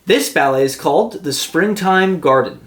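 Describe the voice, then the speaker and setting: Man as a patient mother, lively intonation. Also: a little high, normal speed, natural voice. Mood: gently correcting. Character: patient, encouraging mother of young boys. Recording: roomy, echoing sound.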